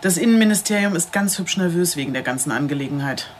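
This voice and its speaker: A woman acting as a sardonic police detective in a radio drama. She talks fast and impatiently in a dry, businesslike way, over faint background noise. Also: lowish voice, animated, natural voice.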